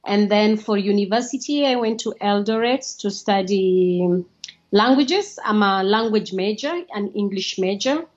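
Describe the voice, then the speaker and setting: A woman's voice: mid-range voice, unhurried, highs cut off. A woman from Kenya, a guest on a podcast, talking casually with laughs and many uhs. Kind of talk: casual interview.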